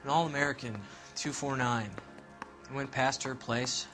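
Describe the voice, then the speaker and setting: A brash young sailor in a 1940s crime game, acted by a man. He has a mid-range voice and answers questions defensively, in blunt slang. Music is clearly heard under his words. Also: mid-range voice, fairly even intonation, moderate pace, close to an everyday voice. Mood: guarded. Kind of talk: video game cutscene acting.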